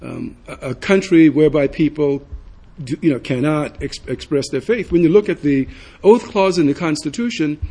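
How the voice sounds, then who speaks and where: A man, a student on a panel at a public forum, speaking haltingly and restarting words. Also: on the high side, dark tone, husky.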